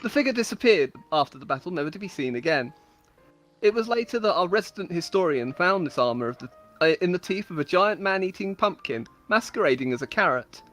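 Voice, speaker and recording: A woman's voice: on the low side, lively intonation, fairly quick, fairly bright tone, faint music behind. A woman storyteller giving a dramatic, mock-epic reading of comic fantasy stories, with amused asides. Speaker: storyteller on a game server.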